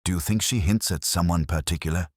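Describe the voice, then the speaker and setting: A man's voice: low-pitched, quick pace, slightly rough, dry recording, studio quality, voice pushed up. A man voicing an awkward, eager police sergeant in an audiobook mystery, muttering deferentially. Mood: anxious, eager.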